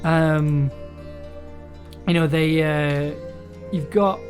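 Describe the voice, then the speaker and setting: A streamer sits at a home desk, thinking aloud while typing out a journaling game entry. The speech is hesitant and often trails off into mutters before the drafted lines are reread aloud. Soft music runs quietly underneath, with keys clicking. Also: mid-range voice, fairly even intonation, drawn-out words. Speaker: streamer and writer. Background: faint music and keyboard typing.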